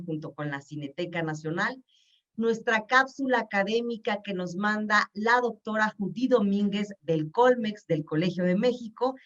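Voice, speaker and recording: A woman's voice: mid-range voice, a bit bright, far from the mic. A woman hosting a web TV talk show, effusive and enthusiastic. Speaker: host. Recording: muffled sound from off-mic.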